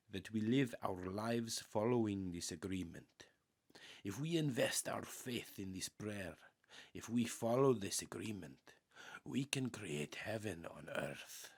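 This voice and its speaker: A man with a low, gravelly voice reads spiritual text aloud as an audiobook. His delivery is earnest and measured, like guided meditation, with deliberate pauses between phrases. The tone is husky and creaky. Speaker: audiobook reader. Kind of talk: slow meditative spiritual reading.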